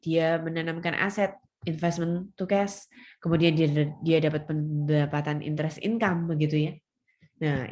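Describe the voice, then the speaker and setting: A woman lecturing students step by step, stressing key terms and answering her own questions. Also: low voice. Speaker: accounting lecturer.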